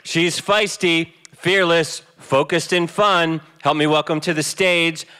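Slow, bright-voiced male announcer. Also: a little high, steady tone, smooth. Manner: slow, drawn-out introduction. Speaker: announcer introducing the speaker.

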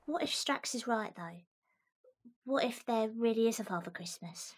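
A woman's voice: on the high side, animated, moderate pace, breathy edge. A woman playing an informal young daughter in an audio drama, with a casual, colloquial way of talking. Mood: wistful. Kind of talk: fan audio drama acting.

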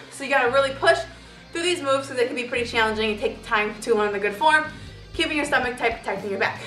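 A woman in her thirties coaches a workout in an upbeat way, her voice high and bright. She is picked up from across an echoey home gym room, with faint music behind her. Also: distant mic. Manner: upbeat coaching, counting out reps. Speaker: fitness trainer. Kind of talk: workout instruction. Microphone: camera mic from across the room.

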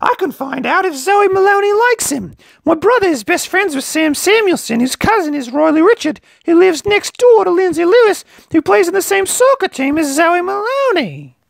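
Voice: very high-pitched, animated, moderate pace, vocal fry, few pauses, no echo, voice pushed up, full character voice. A storyteller voicing an eager, gossipy young teenager in a children's storybook, chattering breathlessly.

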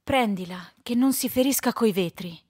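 A woman's voice: fairly high pitch, moderate pace, darkish tone, breathy edge, no room sound, voice pushed up. A protective, determined big tomcat from a storybook, voiced by a woman. He speaks earnestly and urgently, in a dry, close recording.

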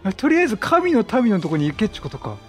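Let's play host: middle-aged male, excitable, casual and very expressive, game music underneath. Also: sits high, fast talker. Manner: chatty, reactive, repeats phrases. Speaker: gaming streamer.

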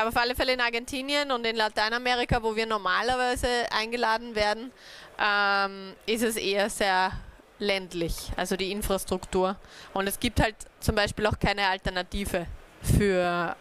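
A woman's voice: medium pitch, fairly bright tone, a little hiss or hum. Hesitant young woman giving informal talk. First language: German. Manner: hesitant, many fillers, chatty. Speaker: community network speaker. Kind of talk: informal talk to a small group. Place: dark event room, on stage.

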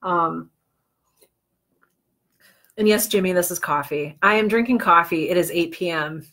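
A woman's voice: on the low side, measured pace, fairly bright tone. A woman hosting an informal livestream tutorial, chatty and given to self-deprecating asides while a bit under the weather. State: under the weather with cold or flu, on medication. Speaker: livestream host teaching podcast business planning.

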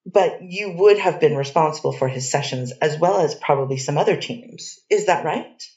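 A woman's voice: low voice, normal speed, darkish tone, highs cut off. A woman attorney questioning a witness in a courtroom, hesitant and hedging, with many restarts. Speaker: defense attorney. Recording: distant echoey courtroom audio.

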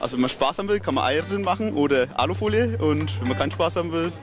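A man with a fairly high voice answers interview questions in a casual, unscripted way. Music plays clearly behind him. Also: expressive, quick pace. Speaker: street survey interviewee. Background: background music.

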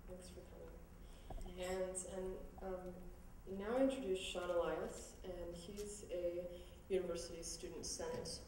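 A woman moderates a campus town hall, introducing speakers softly and haltingly. Her voice sits low with a mellow, dark tone. The recording is distant and very echoey. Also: fairly even intonation, a little hiss or hum. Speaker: event moderator. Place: large auditorium stage.

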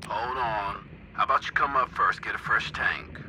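An actor playing a steady, watchful male salvage boss in a video game, giving businesslike check-ins to a diver. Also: on the low side, normal speed, natural voice. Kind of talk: naturalistic video game voice acting. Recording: clear boat and water noise behind.

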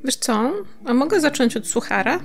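A woman's voice: high voice, animated, moderate pace, faint music behind. A woman from Poland co-hosting a podcast in casual chat, often searching for words and chuckling. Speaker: podcast co-host.

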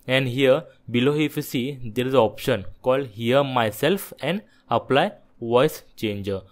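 A man narrating a software tutorial, explaining things step by step in an instructive, repetitive way. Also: medium pitch, a little slow. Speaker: tech tutorial presenter.

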